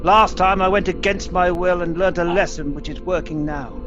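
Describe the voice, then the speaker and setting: A man playing a frightened, pleading old miser in a radio play, speaking haltingly. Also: high voice, steady tone, natural voice. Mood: awed, humbled. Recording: echoey, muffled, music behind.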